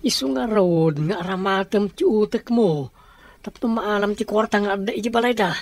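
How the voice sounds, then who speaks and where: A woman's voice: medium pitch, moderate pace, darkish tone, no room sound, lightly acted. A woman acting in a radio drama as a sly, greedy accomplice who eggs on a plot. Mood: urging, greedy.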